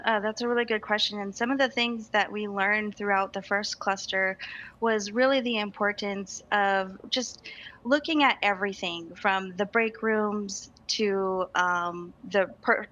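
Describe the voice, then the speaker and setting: A woman's voice: medium pitch, sharp tone, lo-fi sound. A woman working as a registered nurse, answering news interview questions earnestly with frequent ums and restarts.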